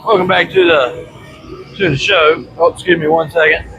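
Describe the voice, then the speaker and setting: A man's voice: a little high, moderate pace, muffled recording, music in the background. A congested man with a chesty voice, chatting casually and rambling in a folksy, colloquial way as he hosts a vlog. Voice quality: congested, chesty with frequent throat clearing. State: congested from bronchitis. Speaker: vlog host. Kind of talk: casual rambling chat to camera. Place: workshop. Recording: steady background noise from running heater. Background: heater noise.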